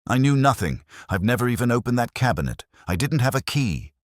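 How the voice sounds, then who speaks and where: Man playing nervous young curate, voice pushed high. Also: sing-song, fairly quick, no room sound, studio quality, close to an everyday voice. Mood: panicked denial.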